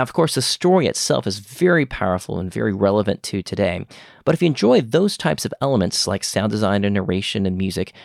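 A man's voice: on the low side, vocal fry, no echo, clean studio sound. A man hosting a podcast, introducing episodes and interviewing a guest.

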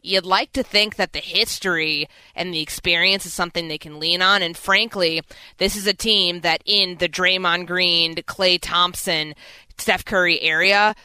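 A woman sports radio host with a bright, forceful voice and very clear, crisp delivery. She gives fluent, assertive commentary in a dry, close studio sound. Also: on the low side, steady tone, normal speed, no room sound, low-quality recording.

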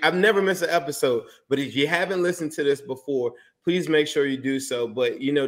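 A man's voice: medium pitch, a bit bright. A man hosting a casual sports podcast, asking enthusiastic, rambling questions full of fillers. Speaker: podcast host.

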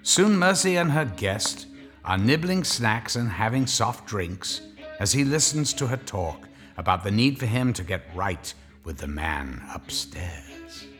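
A man with a lowish voice narrates a scripted horror tale. His delivery is deliberate and unhurried, swinging expressively in pitch over soft music. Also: animated. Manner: measured, deliberate storytelling.

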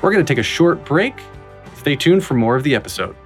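A man's voice: a little high, sing-song, brisk, faint music behind. A man hosting an interview podcast, asking questions in an enthusiastic, conversational way. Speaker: podcast host. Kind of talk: casual interview.

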